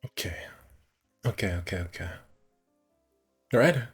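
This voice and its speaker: A man's voice: deep voice, expressive, measured pace, slightly rough, faint music behind. A man casually streaming a visual novel, reading the narration aloud and correcting himself with muttered asides.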